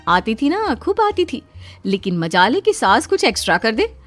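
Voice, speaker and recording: A woman playing young cartoon daughter-in-law, very high voice, plaintive, exasperated, complaining, soft music behind. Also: big pitch swings, normal speed. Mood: protesting.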